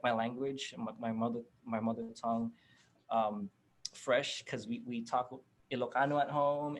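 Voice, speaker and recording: A young man from the Philippines, a rapper guest in a casual interview, easygoing, laughing often and restarting his sentences. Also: medium pitch.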